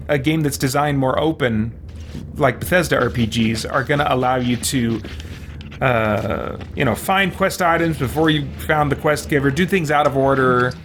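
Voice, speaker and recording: A man's voice: mid-range voice, fairly bright tone, slight background noise. A man hosting a let's play, giving casual commentary as he plays. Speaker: gaming host.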